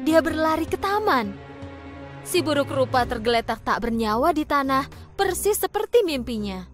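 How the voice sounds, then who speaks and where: Lively woman narrating a fairy tale. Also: a little high. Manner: expressive, storytelling. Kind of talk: scripted storybook narration. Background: background music.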